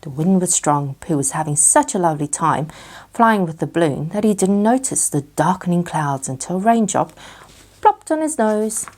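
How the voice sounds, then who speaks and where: Female children's bedtime narrator, slightly low register, animated and expressive. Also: normal speed. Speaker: storyteller.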